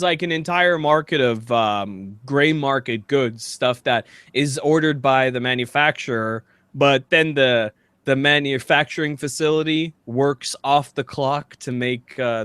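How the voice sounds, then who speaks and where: A man's voice: medium pitch, a little slow, fairly bright tone, smooth, dry recording. A man hosting a casual comedy podcast, rambling jokily and reading comments aloud. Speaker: podcast host.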